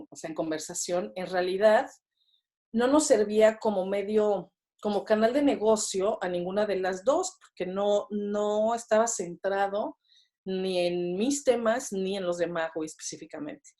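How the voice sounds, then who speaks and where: A woman's voice: medium pitch, measured pace, mellow. A Mexican woman in her thirties chatting as a podcast guest, with lots of fillers and tag questions. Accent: Mexican Spanish. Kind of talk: casual interview conversation.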